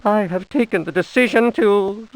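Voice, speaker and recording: A man's voice: high voice, moderate pace, dry recording, natural voice, poor audio. An actor voicing a doomed diary writer in a horror game, theatrical and anguished as he slips into despairing madness. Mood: despairing, resigned.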